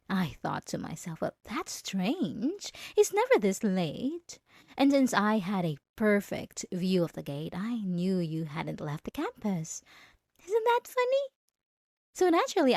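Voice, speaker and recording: A possessive schoolgirl bully acted by a woman in a roleplay audio drama. She speaks slowly and deliberately, with a lively, swooping melody at a middling female pitch. Her manner is sarcastic and threatening under a layer of sugary politeness. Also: dry recording, clean studio sound. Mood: sugary menace. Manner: taunting, sarcastic, switching to sugary false politeness.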